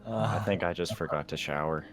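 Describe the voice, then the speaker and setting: A man's voice: low-pitched, brisk, a bit bright, faint music behind. A man playing a sheepish, bumbling guild member who hesitates and stammers. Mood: flustered.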